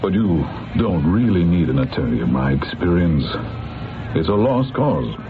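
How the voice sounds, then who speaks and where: An actor playing a courteous, experienced defence lawyer in an old radio drama, self-assured and measured. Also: male voice, sits low, very animated. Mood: assured, resigned. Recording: tinny vintage radio, music behind.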